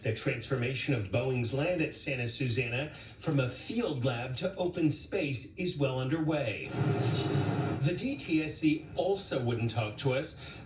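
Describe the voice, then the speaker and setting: A man working as an investigative TV reporter, narrating a scripted news story in a deliberate, emphatic way. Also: fairly high pitch. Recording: roomy, distant sound with faint noise.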